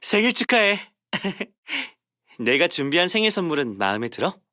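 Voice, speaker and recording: A man's voice: on the high side, very animated, very fast, pushed and bright, no echo, clean studio sound. A man voicing a tender, devoted young sweetheart in a romance game, affectionate and intimate in manner. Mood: affectionate, playful. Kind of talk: game character voice acting.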